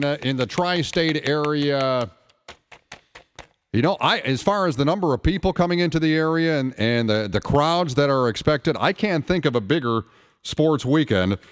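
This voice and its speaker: Teasing male talk radio host, higher-pitched. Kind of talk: casual sports talk radio banter.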